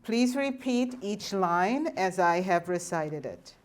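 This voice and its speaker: A woman giving a formal ceremony address and leading a group pledge in a measured, deliberate way. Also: medium pitch, animated.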